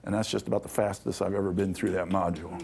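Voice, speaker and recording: A man's voice: sits low, fairly even intonation, fast talker, vocal fry, very noisy. A man giving an informal public lecture to an audience in a hall, talking conversationally and checking in with "okay?" as he goes. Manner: conversational, adds checks like "okay?" to his points. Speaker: lecturer on ancient Egyptian spirituality.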